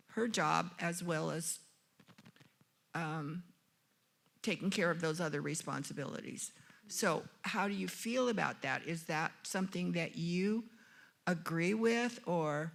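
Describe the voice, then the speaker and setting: Gravelly middle-aged woman asking earnestly. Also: fairly low pitch, a bit bright. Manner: earnest, measured, searching for words. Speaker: audience member asking a question.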